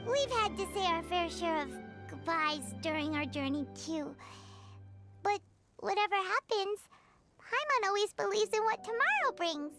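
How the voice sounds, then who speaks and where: A woman voicing a tiny, excitable, loyal childlike fairy companion in a video game, with a chirpy way of talking. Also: very high-pitched, measured pace, exaggerated voice. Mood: cheerful, consoling. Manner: refers to self in third person, chirpy. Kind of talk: video game character dialogue. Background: loud game music.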